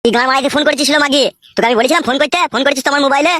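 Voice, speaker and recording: A woman's voice: high-pitched, fairly even intonation, rapid-fire, a bit bright, a little hiss or hum. A woman playing a crude, swaggering husband in a comedy cartoon, with a coarse, bossy way of talking. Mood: angry, abusive. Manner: coarse, brash, bossy, swearing. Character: crude, swaggering young husband with two wives.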